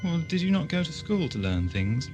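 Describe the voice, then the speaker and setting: A man's voice: on the high side, big pitch swings, moderate pace, over music. A man playing a wry, inquisitive time-travelling adventurer in an audio drama, dry, polite and quippy. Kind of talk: amateur audio drama acting.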